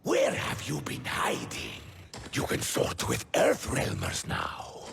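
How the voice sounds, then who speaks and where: Greedy monster villain, very gravelly, wildly swooping pitch, theatrical, menacing, video game. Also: male voice, wildly swooping pitch, full character voice. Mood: menacing, taunting. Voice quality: gravelly, creaky. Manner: slow, theatrical, taunting. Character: menacing greedy male monster debt collector from another realm. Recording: loud music behind.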